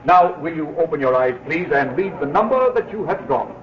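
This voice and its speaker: A man's voice: fairly high pitch, animated, fairly quick, reverberant, a little hiss or hum, lightly acted. An actor playing a showman auctioneer running a raffle in a 1940s radio drama, announcing in a theatrical style. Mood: showy. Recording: dull old radio broadcast.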